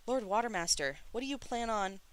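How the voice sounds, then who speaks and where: A reader as an earnest, worried prince god, pitched up. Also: animated, brisk, a bit bright, smooth, lightly acted. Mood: worried protest. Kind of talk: dramatic audiobook character reading.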